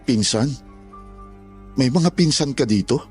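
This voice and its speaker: Guy playing a curious houseboy asking questions, normal pitch male voice, horror audio story, bit of music behind. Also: expressive, a little slow, darkish tone, lightly acted.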